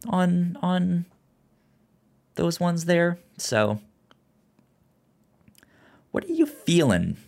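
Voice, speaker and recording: Man chatting casually, halting delivery. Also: sits high, big pitch swings, vocal fry, darkish tone. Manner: halting, often repeats word beginnings. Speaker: podcast host. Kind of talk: casual podcast chat.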